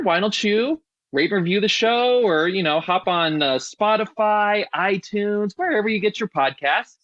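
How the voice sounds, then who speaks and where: A man's voice: high-pitched, fairly bright tone, dry recording, highs cut off. A man hosting a podcast in a casual, chatty and enthusiastic way. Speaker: podcast host.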